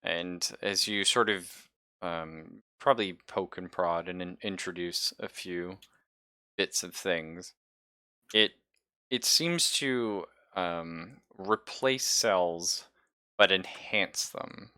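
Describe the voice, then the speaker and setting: A man narrating a tabletop sci-fi horror game as game master, halting as he thinks aloud. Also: low-pitched, pushed and bright, clear, resonant tone, no room sound, studio quality.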